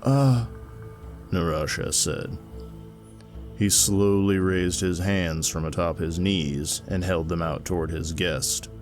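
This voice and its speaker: A man narrates a dark fantasy tale in a measured, even way. His voice is very deep and his pace unhurried. Faint music plays quietly behind him. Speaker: author reading his own fiction.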